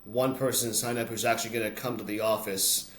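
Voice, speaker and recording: Low-voiced American man in a roomy space. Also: level tone, a little fast. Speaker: interview guest, announcer and voice-over artist. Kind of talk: conversational interview, personal storytelling. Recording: roomy echo, noticeable background noise.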